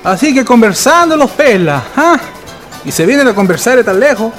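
A man's voice: very high-pitched, big pitch swings, moderate pace, fairly bright tone, soft background music, full character voice. An actor with a Chilean accent playing a middle-aged, bossy and sly working-class father in a radio drama, speaking colloquially. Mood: sly teasing. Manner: colloquial, commanding, teasing.

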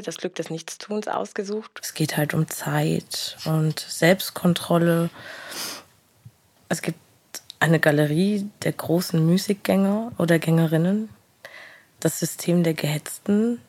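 A woman in her thirties recording a quiet, partly whispered, casual audio diary. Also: fairly low pitch, no echo. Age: 30s. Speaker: participant trying a self-help book.

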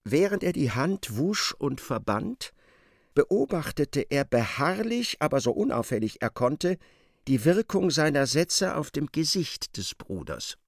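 A man narrating a literary radio drama in a gravelly voice. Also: a little high, very animated, unhurried, no echo, studio quality.

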